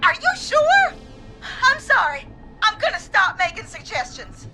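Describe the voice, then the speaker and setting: A woman's voice: very high voice, wildly swooping pitch, measured pace, over loud music, heavily acted. An actress playing a frightened, desperate young woman caller in a video game, pleading, hesitant and apologetic. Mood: panicked, tearful. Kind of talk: dramatic video game voice acting.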